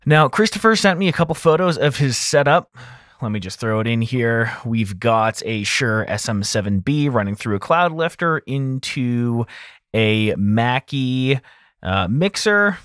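A man in his thirties talks through a tutorial in a casual, conversational way. His medium-pitched voice is captured up close on a broadcast mic in a small, very dry home studio. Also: very animated, measured pace, fairly bright tone, no echo. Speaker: audio engineer hosting a mixing tutorial. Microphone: close broadcast mic on arm.

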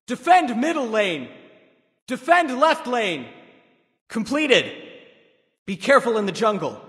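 An actor playing a proud, commanding warrior sage god in a video game, giving crisp, theatrical callouts with confidence. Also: male voice, very high voice, big pitch swings, takes it slowly, a bit bright, in a large hall, heavily acted.

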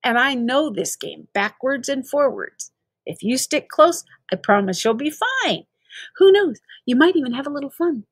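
A woman plays a cocky young boy from a storybook, mad about video games. The voice is high and breezy, full of enthusiasm and always persuading. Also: big pitch swings, moderate pace, breathy edge, no room sound, exaggerated voice. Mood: reassuring, upbeat.

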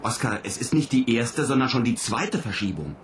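A man's voice: mid-range voice, lively intonation, moderate pace, slight background noise, lightly acted. An actor playing a weary, insistent male astronaut commander in a radio play, speaking tersely. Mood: tense, insistent. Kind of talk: German radio play acting.

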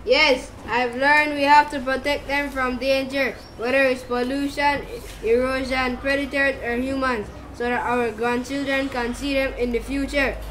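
Woman as a high-voiced, earnest ranger. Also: flat delivery, a little slow, hardly any pauses, fairly bright tone. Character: earnest conservation ranger explaining dangers. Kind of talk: amateur puppet show acting. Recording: noisy live puppet show.

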